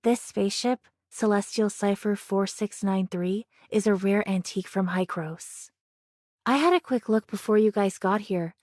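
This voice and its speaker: Actress as inventive young anime engineer. Confident, medium pitch, close studio sound. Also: female voice, moderate pace, a little mellow, no echo, lightly acted. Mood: confident, inspired. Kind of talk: English anime game voice acting.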